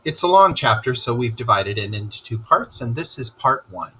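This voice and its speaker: A man narrating a children's story aloud in an expressive storytelling style. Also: mid-range voice, moderate pace, slightly rough.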